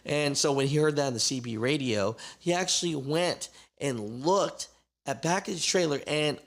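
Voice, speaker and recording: A man's voice: fairly high pitch, lively intonation, moderate pace. A man hosting a trucking channel, recapping a story in casual commentary with many fillers.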